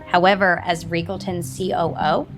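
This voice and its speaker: A woman's voice: lowish voice, level tone, normal speed, music in the background, natural voice. A woman acting in an audio soap opera as a stern, critical older sister and company executive. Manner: firm, reproachful.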